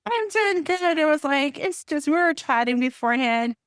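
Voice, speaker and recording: A woman's voice: high-pitched, lively intonation, normal speed, no echo, clean studio sound. A middle-aged woman from Puerto Rico hosting a book podcast, chatting with enthusiasm and rambling a little. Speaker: podcast host. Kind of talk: casual interview chat.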